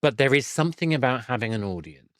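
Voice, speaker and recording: A middle-aged man hosts a podcast interview in a casual, chatty way. His voice sits at a medium pitch with a very dry, room-free sound. He is self-deprecating and often rephrases as he thinks aloud. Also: lively intonation, quick pace, no room sound.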